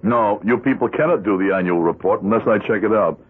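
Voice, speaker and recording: An actor playing an evasive, buttoned-up accountant in a 1970s radio drama. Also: male voice, fairly low pitch, brisk, slightly rough. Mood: formal, guarded. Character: evasive, buttoned-up accountant leading a double life. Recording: thin lo-fi vintage radio recording.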